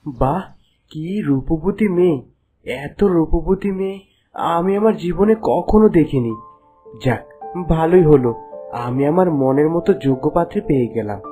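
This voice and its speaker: A man plays a gallant young prince in a fairy-tale cartoon. His dark, mellow voice is courteous and earnest in its romance, with music playing faintly behind. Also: fairly high pitch, expressive, unhurried, long pauses. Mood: smitten. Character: smitten young prince posing as a merchant, courteous.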